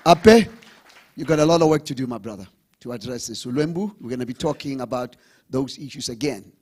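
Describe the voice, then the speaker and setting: A man's voice: mid-range voice, brisk, a little mellow. A man hosting a live event, rallying the audience and switching languages. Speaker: event host. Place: conference hall with audience.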